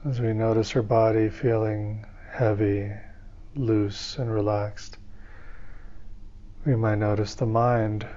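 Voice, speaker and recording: A man leading a guided meditation as a teacher, speaking in calm, soothing, measured phrases with pauses between them. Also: on the low side, muffled recording.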